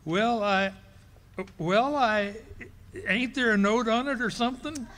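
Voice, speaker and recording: Male actor as a high-voiced, blustering, stammering comic husband in a 1940s radio-style play. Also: takes it slowly, fairly bright tone, full character voice. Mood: flustered. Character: boastful bumbling middle-aged husband. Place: ballroom stage performance.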